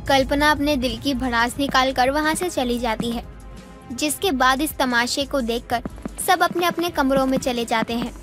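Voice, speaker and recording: A woman's voice: high voice, normal speed, fairly bright tone, faint music behind. A woman narrating a scripted moral story.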